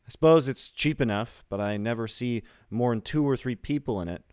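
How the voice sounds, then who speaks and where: A man's voice: medium pitch, a little fast, darkish tone, smooth, dry recording, clean studio sound, pitched above the performer's own voice, close to an everyday voice. A man voicing a stout, shrewd station ticket agent from a small town, talking in a folksy, colloquial way in a storybook reading. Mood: folksy, doubtful. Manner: folksy, colloquial, hesitant gossip.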